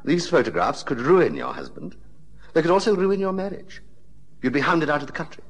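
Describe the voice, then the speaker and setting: An actor playing a menacing, mock-courteous blackmailer with a violent temper in a radio drama. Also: male voice, fairly high pitch, sing-song. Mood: smug, coolly menacing. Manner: mock-courteous, deliberate, threatening.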